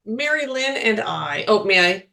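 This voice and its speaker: Woman, podcast co-host, casual spiritual chat, lively pitch, middle-range voice, laughs often. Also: gravelly. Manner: chatty, laughs often, quick restarts.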